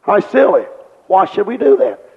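A man's voice: high voice, big pitch swings, moderate pace, gravelly. A man preaching a sermon with fervent rhetorical questions and repeated refrains. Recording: dull lo-fi recording with long hall reverb.

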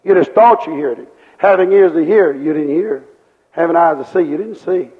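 A man's voice: fairly high pitch, normal speed. A man preaching a sermon with fervent rhetorical questions and repeated refrains. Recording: dull lo-fi recording with long hall reverb.

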